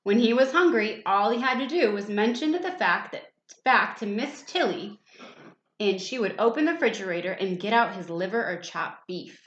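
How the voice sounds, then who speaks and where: A woman reading a storybook aloud to young children in an expressive, animated way, adding little questions and asides for the listeners. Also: medium pitch, moderate pace, reverberant, dull audio.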